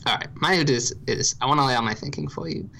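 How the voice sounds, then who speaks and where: A man from Ireland with no marked accent, co-hosting a podcast and calmly laying out his reasoning in a dry, deliberate way. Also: fairly high pitch, creaky, slight background noise. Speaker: podcast co-host. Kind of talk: casual podcast chat.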